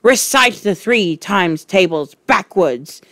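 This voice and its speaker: A woman's voice: deep voice, lively intonation, takes it slowly, no room sound, clean studio sound. A woman voicing an enormous bullying headmistress in a storybook, barking out scornful, furious insults.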